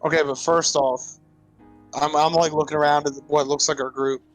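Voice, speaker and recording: A man chats playfully as a player in a tabletop roleplaying game. His pitch runs a little high. Faint music plays behind him. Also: level tone, moderate pace, rough recording. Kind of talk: casual, playful roleplay chat.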